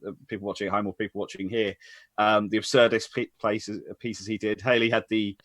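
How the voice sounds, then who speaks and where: A man's voice: on the low side, flat delivery, a little fast, a bit bright, no room sound. A British man hosting a casual chat, reminiscing in a rambling, stop-start way that repeats phrases. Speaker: host of an online tribute discussion.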